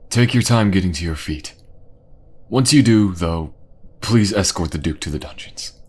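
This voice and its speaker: A man's voice: sits low, expressive, moderate pace, darkish tone, husky. An actor playing a remorseful, devoted king and father in a fantasy audio drama, speaking in a formal, earnest, emotional way. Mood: commanding.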